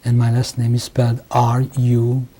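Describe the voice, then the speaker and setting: A Hungarian-born man in his sixties recalls his life slowly, stopping and restarting his sentences. His voice is very dark and mellow. It stays flat and level, with little rise or fall. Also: medium pitch, husky. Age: 60s. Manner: halting, with many restarts and pauses. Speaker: interviewee. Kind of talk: oral history testimony. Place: home interview.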